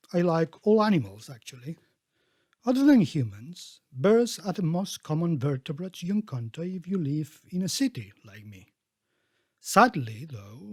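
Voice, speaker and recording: A middle-aged man reading his own book aloud as its author, expressively, with emphatic, deliberate phrasing. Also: on the high side, a little mellow, slightly rough, no echo, studio quality.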